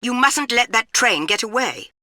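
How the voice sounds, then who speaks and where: An actress playing a stern older British spy chief in a video game, clipped, commanding and dryly disapproving. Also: female voice, fairly high pitch, lively intonation, moderate pace, pushed and bright.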